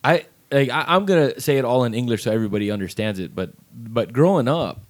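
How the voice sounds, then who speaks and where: A man's voice: mid-range voice, lively intonation, quick pace. Earnest man in casual talk. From Texas. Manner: earnest, repeats words when starting sentences. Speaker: podcast co-host. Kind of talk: casual chat.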